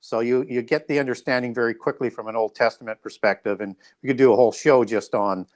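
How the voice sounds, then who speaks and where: A man speaking as an interview guest, explaining in a scholarly but halting way and correcting himself as he goes. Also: mid-range voice, fairly even intonation. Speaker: guest author.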